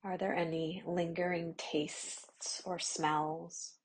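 A woman guiding a yoga nidra meditation in a slow, soft, soothing voice, with long pauses and some phrases half whispered. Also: on the low side, little pitch movement, a little hiss or hum. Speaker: meditation guide.

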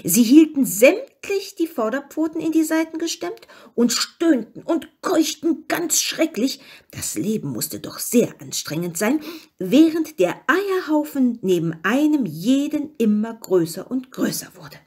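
A woman reading a fairy tale as scripted narration, expressive and with dramatic emphasis. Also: high voice, a little slow, slightly rough. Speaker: story reader.

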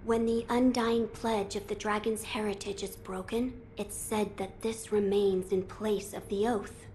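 A woman's voice: mid-range voice, flat delivery, a little slow, a little mellow, a little hiss or hum, natural voice, low-quality recording. A woman voicing an earnest, dignified young noble boy in a video game, formal and polite. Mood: earnest, gracious.

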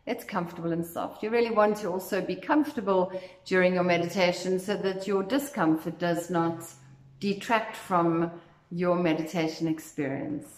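A woman leading a guided meditation as instructor, speaking in a gentle, soothing, unhurried way. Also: lowish voice, steady tone. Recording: echoey, roomy sound.

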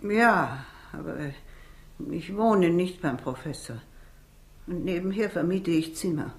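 An actress in a 1960s radio crime drama playing a stout, sombre older housekeeper who recounts things in a measured way. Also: female voice, lowish voice, lively intonation. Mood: grave, reporting.

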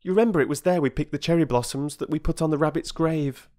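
A man reading a storybook aloud and voicing Peter, an eager, practical young boy who talks quickly when excited. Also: a little high, pitched above the performer's own voice, natural voice. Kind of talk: audiobook character voice.

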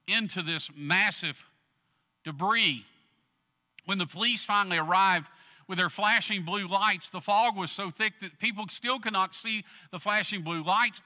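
A middle-aged man preaching a sermon from a church stage, earnestly swelling loud, then dropping low. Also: long reverb tail. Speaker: pastor.

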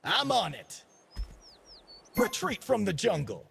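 An actor playing a cocky, laid-back young dancer and DJ in a video game, with swaggering party slang and a gravelly voice. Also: male voice, high voice, huge pitch swings, slow, a bit bright, a little hiss or hum, full character voice. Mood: energetic, urgent. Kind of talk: video game character voice lines.

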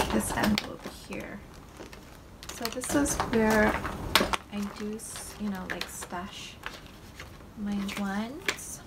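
A woman's voice: mid-range voice, very noisy. A young woman from Malaysia hosting a budgeting channel, talking casually to camera while she counts cash at her desk, with lots of ums and likes. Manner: slow, relaxed, with many ums and likes. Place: indoors at a desk. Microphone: overhead camera framing desk and hands.

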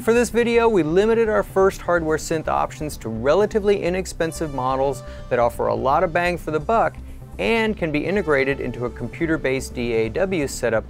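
A middle-aged man narrating a scripted product overview in a clear, explanatory way with varied emphasis. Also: on the high side. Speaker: product video presenter. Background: music and synthesizer playing.

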